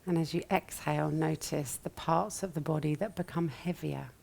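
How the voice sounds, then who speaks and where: A woman with a low, mellow voice guides a meditation. She speaks softly and gently, sometimes breathy, leaving long pauses between short phrases. There is a light creak in her tone that settles into the unhurried teaching. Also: fairly even intonation. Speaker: yoga nidra meditation teacher.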